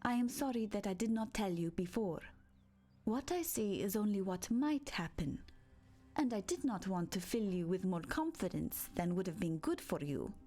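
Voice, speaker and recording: A woman plays a gentle game seer, calm and knowing. Her voice is dark and mellow at a middle pitch, with faint music underneath. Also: normal speed, close to an everyday voice. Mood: calm, apologetic. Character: gentle, mystical female dreaming seer. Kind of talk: video game character acting.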